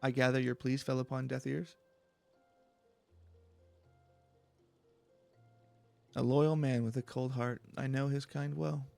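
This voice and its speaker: A man's voice: mid-range voice, little pitch movement, moderate pace, gravelly, a little mellow, faint music behind, lightly acted. A man voicing a smug, silver-tongued young rich nobleman from a fantasy game, in haughty, formal, eloquent speech. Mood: courteous, self-assured. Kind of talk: reading video game dialogue in character.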